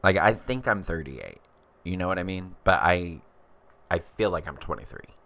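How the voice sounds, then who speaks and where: A man's voice: sits low, expressive, moderate pace, creaky, bright, forceful tone. A man in his thirties chatting casually on a livestream, rambling as he reads viewer comments. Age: 30s. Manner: chatty and rambling, many fillers.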